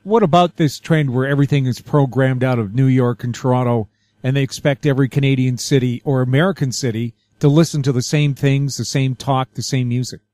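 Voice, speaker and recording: A man with a mid-pitched voice hosts a radio interview. He puts his questions conversationally and with opinions of his own. The sound is very dry and close. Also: steady tone, fairly quick, darkish tone, dry recording.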